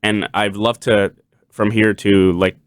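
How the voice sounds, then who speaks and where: A man's voice: fairly low pitch, little pitch movement, a little slow, clean tone, dry recording, clean studio sound. A young man from Puerto Rico hosting a podcast, talking casually in long, rambling sentences full of "like" and "kinda.". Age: young adult. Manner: rambling, many restarts and "like", "kinda". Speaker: podcast host. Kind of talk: casual conversation.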